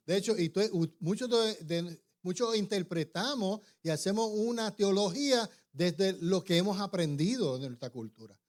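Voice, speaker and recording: Pastor, conversational, higher-pitched. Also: male voice. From born in New York, raised in Puerto Rico. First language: English. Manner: informal, many restarts and tag questions. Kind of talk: conversational sermon.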